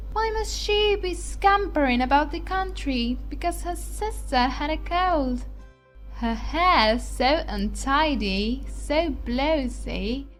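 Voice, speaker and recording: A woman playing a haughty, scornful young society lady in a period audiobook drama, speaking with affected, drawn-out disdain. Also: sits high.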